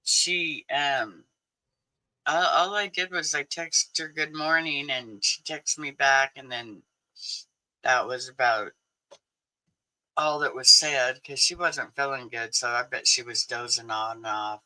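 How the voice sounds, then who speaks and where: Man in his 60s, livestream host, unhurried, very bright tone, chatty with frequent laughs. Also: medium pitch, steady tone, poor audio. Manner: chatty, laughs often, answers viewers. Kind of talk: casual livestream chat.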